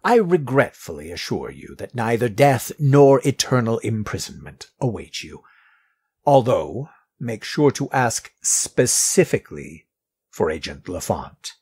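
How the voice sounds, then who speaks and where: An actor playing a guarded, courteous middle-aged mortician in an audio drama, speaking in formal, measured phrases with a husky, rough voice. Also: male voice, mid-range voice, very animated, a little mellow. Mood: stern, formal.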